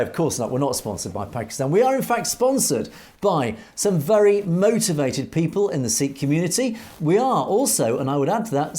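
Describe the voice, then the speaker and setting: A man's voice: on the high side, mellow. A man co-hosting a news commentary show, reading out viewer comments and answering them in an earnest, emphatic way with rhetorical questions. He is from Europe.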